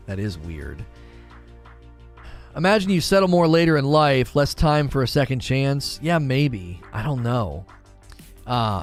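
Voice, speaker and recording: A man in his forties hosting a casual gaming livestream, musing aloud in a relaxed, conversational way as he answers chat. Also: fairly high pitch. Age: 40s. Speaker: gaming channel host reading live chat.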